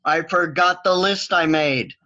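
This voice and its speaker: Man as defensive old husband, bright forceful voice, forgetful, muffled video-call sound, stage comedy. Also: fairly high pitch, unhurried. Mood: sheepish, explaining. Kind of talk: stage play reading over video call.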